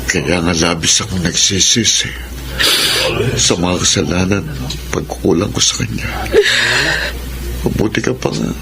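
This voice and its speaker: A Filipino man acting a middle-aged, remorseful father in a radio drama, with heavy expressive delivery. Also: sits low, measured pace, long pauses. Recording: clear background noise and ambience.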